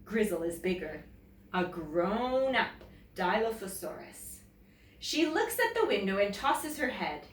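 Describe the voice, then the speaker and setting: Animated woman storyteller in an echoey room. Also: mid-range voice. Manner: expressive, deliberate, addressing young listeners. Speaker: teacher reading a story aloud. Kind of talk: animated children's picture-book read-aloud. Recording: roomy, echoey, distant mic, faint noise.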